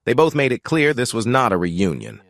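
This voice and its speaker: A man narrating an audio drama in a steady, measured storytelling voice on a close mic. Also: medium pitch, vocal fry, no echo.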